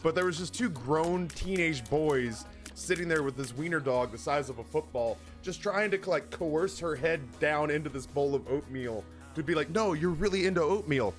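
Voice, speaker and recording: A man streaming games who tells comic stories casually, in an animated, exaggerating and profane way. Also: a little high, normal speed, few pauses. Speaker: game streamer. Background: music in the background.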